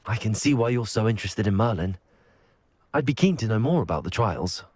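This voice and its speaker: Curious young adventurer, lowish voice. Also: male voice, animated, brisk, a little mellow. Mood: curious, polite. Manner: courteous, inquisitive. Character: polite, curious young male student adventurer. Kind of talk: video game character dialogue.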